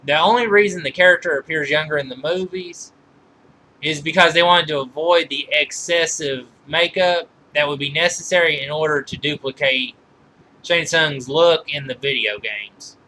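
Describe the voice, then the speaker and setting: Bright-voiced man reviewing movies, noisy recording. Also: a little high. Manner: halting, often corrects himself mid-sentence. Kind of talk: informal film trivia commentary.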